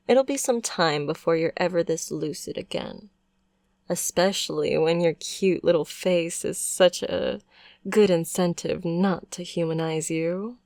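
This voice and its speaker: A woman voicing a doting, possessive witch in an intimate ASMR roleplay, speaking in a coaxing, softly condescending way. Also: lowish voice, dry recording, clean studio sound. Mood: possessive, teasing. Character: doting, possessive yandere witch, sweetly sinister. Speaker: ASMR voice performer.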